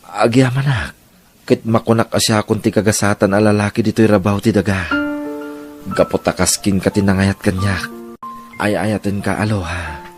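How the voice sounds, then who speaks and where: A man's voice: on the low side, steady tone, normal speed, soft background music, lightly acted. A man playing a loving, hardworking husband and father in an audio drama, earnest and affectionate. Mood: loving, grateful.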